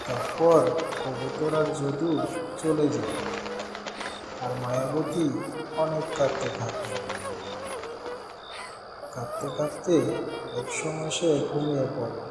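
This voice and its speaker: A man's voice: on the high side, takes it slowly, long pauses. A man narrating a scripted children's cartoon story. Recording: very echoey long reverb, music behind.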